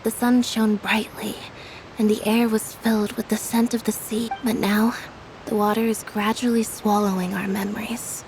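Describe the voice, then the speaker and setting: A woman's voice: medium pitch, little pitch movement, normal speed, darkish tone, slight background noise, close to an everyday voice, poor audio. A woman playing a reserved, melancholy young woman in a video game, speaking in a subdued, wistful, brooding way.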